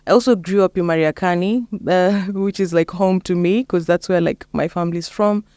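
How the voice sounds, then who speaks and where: A woman's voice: lowish voice, moderate pace, dark tone, no echo, clean studio sound. A young woman with a Kenyan accent, chatting casually as an interview guest. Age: young adult. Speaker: interview guest, lawyer and mentor.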